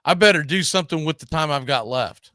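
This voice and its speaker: A man with a slightly high voice hosts a casual sports discussion. He talks conversationally, tossing in little check-in questions as he makes his points. The recording is dry and close, with little room sound. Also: brisk, pushed and bright, no room sound. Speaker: host of a baseball talk show.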